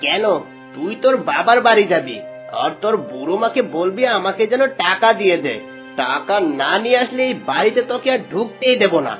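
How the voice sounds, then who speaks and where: A woman's voice: mid-range voice, sing-song, a little fast, lightly acted. A woman voicing a greedy, cruel village husband in a cartoon, scolding and domineering in exclamatory bursts. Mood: angry, threatening. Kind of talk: melodramatic cartoon acting. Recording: music bed under voice.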